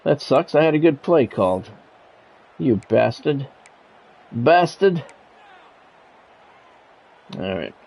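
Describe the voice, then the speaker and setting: A man's voice: mid-range voice, sing-song, slow, creaky, pauses often, a little mellow. A man streaming a sports video game, switching between play-by-play commentary and casual chat. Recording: dull lo-fi audio, music behind.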